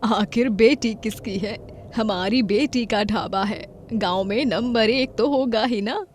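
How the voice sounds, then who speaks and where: A woman's voice: fairly high pitch, slow, a little hiss or hum. A woman voicing a caring, motherly village mother-in-law in a cartoon story. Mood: proud. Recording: background music under voice.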